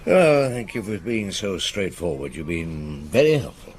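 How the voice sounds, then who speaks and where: A man's voice: low-pitched, big pitch swings, unhurried, darkish tone, a little hiss or hum. A man playing a genial, shrewd middle-aged detective in a radio drama, questioning in an avuncular, probing way. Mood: gentle, probing.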